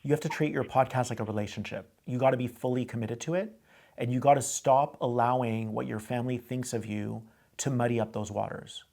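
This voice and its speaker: A man's voice: mid-range voice, steady tone, fairly quick, vocal fry, a little mellow. A man hosting a call-in advice show, coaching a caller in a direct way and often repeating himself. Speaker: host giving advice.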